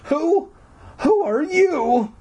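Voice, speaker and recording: Male reader as jumpy schoolgirl heroine: shrill, high, swooping exclamations with a husky edge. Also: huge pitch swings, takes it slowly, heavily acted. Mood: alarmed. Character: excitable, easily frightened schoolgirl narrator, shouting and snapping.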